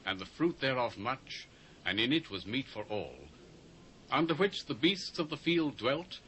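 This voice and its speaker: An earnest prophet gives reverent counsel in a scriptural audio drama. The voice is forceful and bright, rising and falling widely in fervent declamation, over faint tape hiss. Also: male voice, mid-range voice, poor audio. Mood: reverent, grave. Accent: British. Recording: faint hiss of old cassette.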